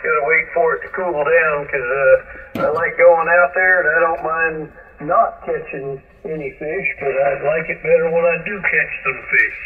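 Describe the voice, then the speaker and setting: A man chatting casually and without hurry in a folksy way as an amateur radio operator, over a shortwave radio link. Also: on the high side. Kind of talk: casual two-way radio chat. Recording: muffled shortwave radio, distant, static hiss.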